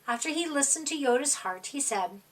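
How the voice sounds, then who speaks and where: A woman reading a children's picture book aloud in an expressive, dramatic storytime style, drawing out words for young listeners. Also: high voice, fairly quick, far from the mic, low-quality recording.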